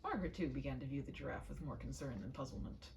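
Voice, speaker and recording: A woman narrates a light comic story in a deliberate, theatrical way. Her pitch moves about in a lively fashion and often settles into a creaky edge. A faint noise sits behind the voice. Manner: expressive, deliberate, mock-formal. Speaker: storyteller reading a story aloud. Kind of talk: theatrical humorous read-aloud narration.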